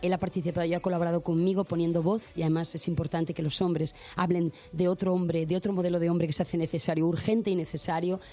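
A woman's voice: fairly low pitch, level tone, fast talker, hardly any pauses, dark tone. A woman guest, a singer and activist, talking passionately in long runs. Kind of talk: casual interview chat.

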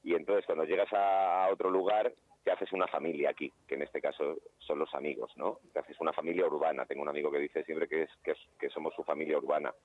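A man from Spain speaks as a guest in a relaxed radio interview, thinking aloud and restarting his sentences as he explains. His voice is very low. He comes through a thin, phone-like line. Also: fast talker, dry recording. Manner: thoughtful, with many restarts. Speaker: playwright and actor guest.

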